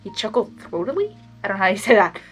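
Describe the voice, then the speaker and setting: A woman's voice: medium pitch, sing-song, fast, soft background music, rough recording. A woman narrating fan fiction expressively, slipping into casual, emotionally invested asides.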